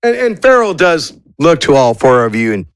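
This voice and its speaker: A man narrating a tabletop game as game master, searching for words in drawn-out descriptive phrases. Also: fairly high pitch, sing-song, clean tone, no echo.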